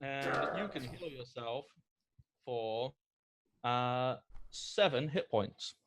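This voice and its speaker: A man running a tabletop game as game master, narrating the action in a lively way. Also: medium pitch, extremely slow, vocal fry.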